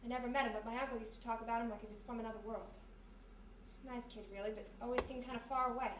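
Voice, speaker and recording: A woman with an American accent playing a quick-thinking, stubborn, slangy detective's sidekick in a 1940s-style radio mystery, speaking insistently. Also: a little high, steady tone, close to an everyday voice. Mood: hushed, ominous. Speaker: actor. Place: live performance in a historic house. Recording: roomy, distant live recording with faint noise.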